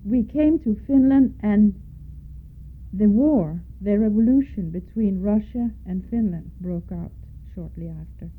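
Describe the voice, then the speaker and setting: Older woman, Danish accent, middle pitch, reminiscing, spells names aloud, tinny lo-fi tape sound. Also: a little slow. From Denmark. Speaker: interviewee. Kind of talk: oral history interview.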